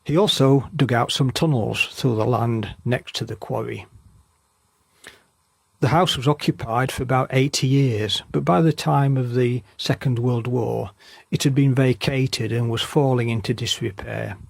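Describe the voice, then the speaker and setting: A man with a husky, creaky voice giving measured documentary-style narration of local history. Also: medium pitch, dry recording.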